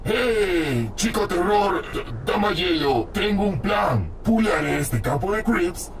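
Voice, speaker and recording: A man with a Peruvian accent voicing an eager, theatrical dark spirit in a cartoon fan dub. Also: high voice, very animated, slow pace, vocal fry, slight background noise, exaggerated voice.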